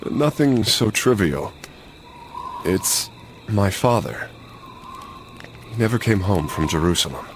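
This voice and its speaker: A man's voice: lowish voice, animated, slow, long pauses, slight background noise. An actor playing an earnest, stubborn young crusader nobleman in a video game, serious and resolute. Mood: somber. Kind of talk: video game cutscene acting.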